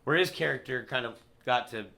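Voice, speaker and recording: A man with a forceful, bright voice that often crackles into fry. He speaks at a lowish-to-middle everyday pitch, rambling through casual movie talk as a podcast co-host. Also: brisk. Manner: rambling, informal, peppered with filler words. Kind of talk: casual movie review chat.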